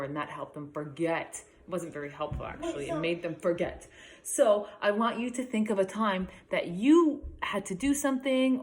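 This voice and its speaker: Female primary teacher, expressive storybook read-aloud with slow emphasis and questions for young listeners. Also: lowish voice. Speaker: elementary school teacher. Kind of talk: picture book read-aloud for children.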